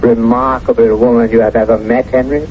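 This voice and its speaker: A man's voice: medium pitch, flat delivery, a little fast, close to an everyday voice. An actor playing a genial, self-assured businessman with a polished, effusive manner in a 1970s radio drama. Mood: jovial, admiring. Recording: muffled, faintly noisy old radio broadcast.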